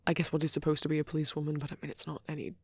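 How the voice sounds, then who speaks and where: Low husky woman doing shy asmr rambling, half whispering, really close and dry. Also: monotone, very fast, no room sound. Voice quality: soft, breathy. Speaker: ASMR roleplay creator. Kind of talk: soft rambling ASMR chat. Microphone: close microphone.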